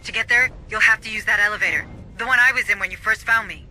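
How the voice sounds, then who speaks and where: Sci-fi game tech adviser: high register, sharp bright delivery, explanatory and matter-of-fact. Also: male voice, fast, soft background music, rough recording. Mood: focused. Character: male technical guide advising the squad, matter-of-fact. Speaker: technical guide. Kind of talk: scripted sci-fi game dialogue.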